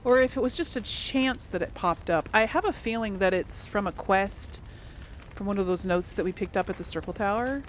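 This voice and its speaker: A woman's voice: mid-range voice, animated, moderate pace. Woman doing casual let's play, noisy background. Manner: informal, chatty, addressing viewers directly. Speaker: gaming channel host.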